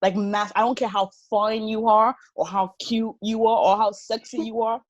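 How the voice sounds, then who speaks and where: A woman co-hosting a podcast, chatting casually in a playful, expressive way and laughing often. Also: fairly high pitch, normal speed, highs cut off. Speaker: podcast co-host. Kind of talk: casual chat.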